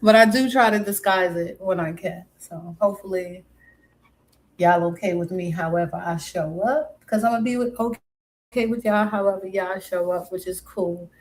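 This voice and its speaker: A woman's voice: lowish voice, normal speed, a little mellow, rough recording. A woman from Brooklyn with a mixed New York and West Indian accent, casually chatting with viewers on her live stream and calling them pet names.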